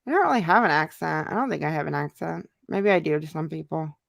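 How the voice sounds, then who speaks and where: Low, creaky-voiced American woman chatting. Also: lively intonation, fast, no room sound, clean studio sound. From United States. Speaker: livestream host, YouTuber. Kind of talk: casual livestream chat, answering viewer comments.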